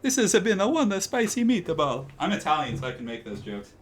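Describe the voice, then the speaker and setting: A man casting a strategy game tournament live and chatting with viewers, his slightly raspy voice getting hoarse, with excitable loud outbursts. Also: high voice, brisk. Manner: excitable, breaks into loud exclamations.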